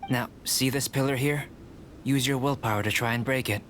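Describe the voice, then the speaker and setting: A man voicing a calm, curious young alchemist in a video game, speaking in a measured, polite, scholarly way. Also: mid-range voice, slight background noise, natural voice. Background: game music.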